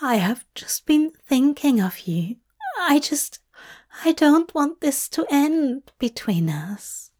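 A woman's voice: high voice, big pitch swings, slow, a little mellow, voice pushed up, exaggerated voice. A woman voicing a timid, easily wounded male angel in a story, with a hesitant, pouting, fretful way of talking. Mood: tearful.

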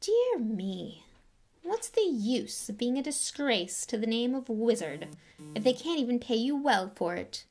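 A woman voicing a cold, haughty aristocratic wizard man, speaking quietly with contempt. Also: a little high, sing-song, a little slow, pitched above the performer's own voice. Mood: contemptuous.

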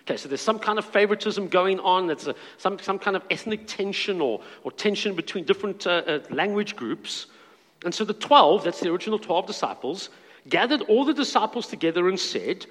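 A man's voice: high voice, expressive, a little fast, fairly bright tone, big-room sound. A man preaching a sermon as pastor, reading scripture aloud and adding earnest asides.

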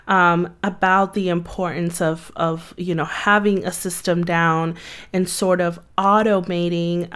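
Slow, low-voiced woman podcast host. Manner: conversational, unhurried, frequent fillers. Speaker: podcast host and marketing agency CEO. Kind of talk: solo podcast talk.

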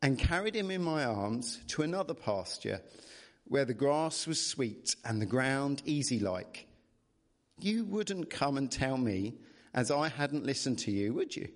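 A gentle old shepherd with a rustic West Country accent , as put on by a man reading a storybook. He speaks in a slow, homely way, like someone who has spent his life in the fields and has quiet wisdom to share. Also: mid-range voice, sing-song. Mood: gently reassuring. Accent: English West Country.

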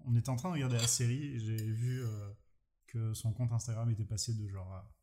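A man co-hosting a relaxed podcast. He talks in a middling pitch and stops often to find his words. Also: fairly quick. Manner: hesitant, many fillers. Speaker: podcast co-host. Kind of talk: casual chat.

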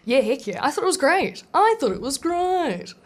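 New woman, comedian co-host, higher pitch, quippy and playful. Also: very animated, a little hiss or hum. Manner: playful, quick quips. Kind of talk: casual podcast chat.

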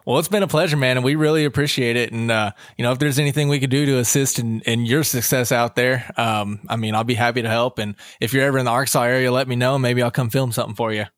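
A man's voice: medium pitch, fairly even intonation, quick pace, few pauses, no echo, clean studio sound. A young man hosting a podcast, laid-back, laughing often and swearing casually. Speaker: podcast host. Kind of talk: casual chat.

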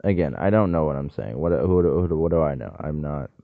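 A man's voice: very deep voice, expressive, fairly quick, vocal fry, no echo. A man rambling through a loose, jokey solo monologue, wandering between topics and cutting himself off mid-thought. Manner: meandering, self-interrupting, jokey asides. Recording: dry, tinny phone-like sound.

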